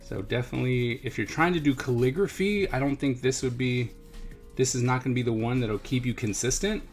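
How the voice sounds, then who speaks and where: A man's voice: medium pitch, a little fast. Casual man reviewing gear over background music. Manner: informal, repeats himself while musing. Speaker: host of an art supply review series. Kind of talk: casual hands-on product review, thinking aloud.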